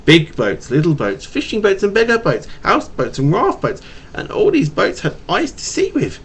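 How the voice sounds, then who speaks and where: A man with a slight stutter reading a picture book to his young child in a playful, animated way, with casual asides, recorded on a home webcam. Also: high-pitched, moderate pace, slightly rough. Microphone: webcam mic.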